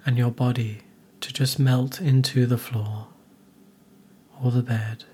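A man narrating a guided meditation in a soothing, gentle, hushed manner with soft, breathy phrase endings. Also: medium pitch, fairly even intonation, slow pace, a little hiss or hum.

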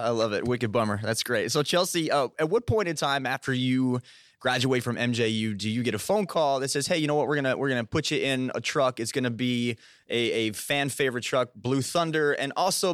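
A man's voice: mid-range voice, a bit bright, dry recording, studio quality. A man hosting an interview show, asking questions in an upbeat, friendly way. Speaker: show host. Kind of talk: hosted interview.

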